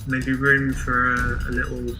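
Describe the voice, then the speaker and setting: A man's voice: mid-range voice, measured pace, over music. Chatty Englishman, faint London accent. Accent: mostly faded London English. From England. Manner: chatty and playful, with relaxed fillers. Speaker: dating coach demonstrating an approach. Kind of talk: casual street conversation.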